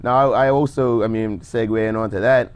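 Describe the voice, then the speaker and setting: A young man with a baritone voice, a rapper talking casually and reflectively as a guest, with many restarts. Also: fairly even intonation, normal speed, clear, resonant tone. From Bermuda. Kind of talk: casual interview chat.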